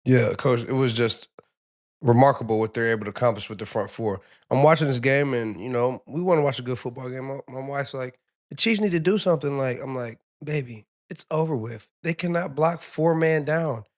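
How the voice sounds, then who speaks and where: A man with a raspy, hoarse voice, a former player co-hosting, laid-back and quick to laugh. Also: medium pitch. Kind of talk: casual sports chat.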